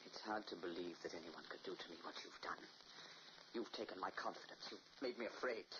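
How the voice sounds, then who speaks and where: A man's voice: deep voice, a little fast, natural voice. An actor playing a nervous, tormented married man who stammers and protests, in an old radio drama. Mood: anguished. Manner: flustered, stammering, voice rising in protest. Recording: dull old radio recording with hiss.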